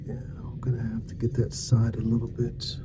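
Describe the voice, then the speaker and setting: A soft-spoken man playing a dentist in an ASMR roleplay, murmuring casual, reassuring and unhurried patter to a patient. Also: mid-range voice, monotone. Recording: dull muffled lo-fi sound with faint noise.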